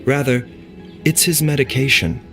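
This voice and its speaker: An actor playing a gentle, scholarly doctor in a video game, speaking in a formal, measured and grave manner. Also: male voice, mid-range voice, fairly even intonation, soft background music, close to an everyday voice. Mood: calm, clinical.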